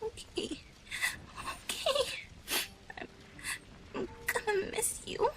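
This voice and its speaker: Woman voicing a shrill, tearful young cartoon pony. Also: slow pace, fairly bright tone, a little hiss or hum, full character voice. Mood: distraught, pleading. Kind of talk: dramatic fan fiction audio reading.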